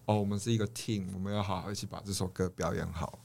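A man's voice: sits low, steady tone, quick pace, vocal fry, darkish tone. A man with a Taiwanese Mandarin accent hosting a casual podcast chat in a joking manner. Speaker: podcast host.

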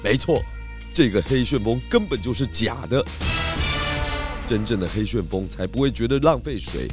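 A man with a Taiwanese accent voicing a clever, calm pig detective in a children's audio drama, patiently explaining clues. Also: mid-range voice, normal speed, loud music behind the voice, lightly acted. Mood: confident explaining.

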